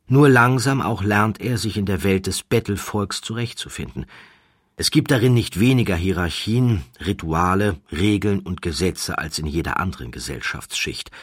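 A man reading a scripted literary story as an audiobook narrator, in a measured, deliberate storytelling manner. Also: low-pitched, a bit bright, slightly rough, no room sound, clean studio sound.

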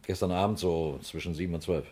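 An actor playing a matter-of-fact, methodical police inspector in a 1960s German radio crime drama. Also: male voice, low voice, fairly even intonation, fast talker, warm tone, breathy edge.

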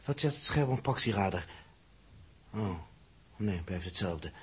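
A man's voice: low voice, expressive, measured pace, a bit bright, husky, exaggerated voice. A man with a Netherlands Dutch accent acting an irritable, stubborn spaceman in a 1960s science-fiction radio drama. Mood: tense, flustered. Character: male spaceman Dirk, irritable, stubborn, bossy.

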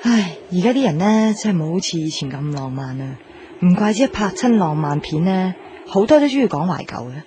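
A woman's voice: fairly low pitch, expressive, a little fast, warm tone, a little hiss or hum, lightly acted. A woman acting as a romantic, candid young woman in a radio drama, with a Hong Kong Cantonese accent. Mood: teasing.

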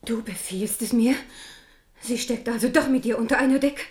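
Fervent, stern queen and mother for radio drama, played by a woman, higher-pitched voice, declamatory and devout. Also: normal speed, a little mellow, natural voice. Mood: outraged. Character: proud, devout Protestant queen and protective mother. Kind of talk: German radio play acting.

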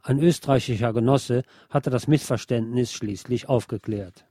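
Ein Mann, der als Sprecher einer Radiosendung einen literarischen Sachtext auf Deutsch mit deutschem Akzent vorliest. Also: male voice, medium pitch, moderate pace. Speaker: radio programme presenter reading a book chapter. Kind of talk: scripted narration, literary reading.

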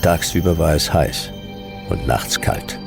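An actor playing a laconic, hard-boiled detective who narrates a sci-fi radio drama in terse, deadpan phrases. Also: male voice, rumbling low, measured pace, creaky, music in the background, heavily acted. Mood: terse, brooding. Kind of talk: noir first-person radio drama narration.

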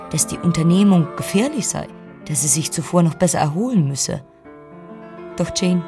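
A woman's voice: lowish voice, lightly acted. An actress playing a young Regency sister who narrates her family's story in a composed, literary radio-drama style. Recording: clear music under the voice. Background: music.

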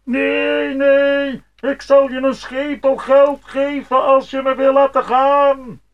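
An actor playing a frightened village sexton who pleads and wails in a fairy-tale drama. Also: male voice, extremely high pitch, flat delivery, slow pace, lo-fi sound. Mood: frightened pleading.

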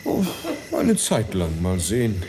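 A man's voice: sits low, huge pitch swings, unhurried, gravelly, background noise, heavily acted. An actor playing a casual, easygoing young man of twenty in a radio drama, speaking offhandedly. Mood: offhand. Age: young adult.

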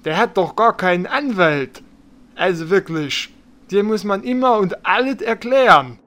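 A man's voice: high voice, animated, measured pace, a bit bright. A man playing a cocky, condescending simpleton shaman in a German parody audio play.

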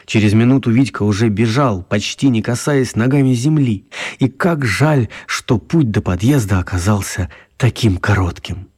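A male actor as the storyteller of a children's radio play, narrating expressively in a husky voice. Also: lowish voice, normal speed, a little mellow. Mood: storytelling.

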